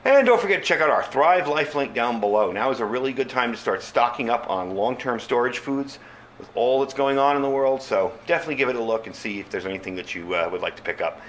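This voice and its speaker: A man's voice: medium pitch, animated, fast talker, a bit bright. Casual man reviewing gear, noisy background. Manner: informal, conversational, addresses viewers as folks. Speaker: product reviewer, channel host. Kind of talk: casual unscripted product review.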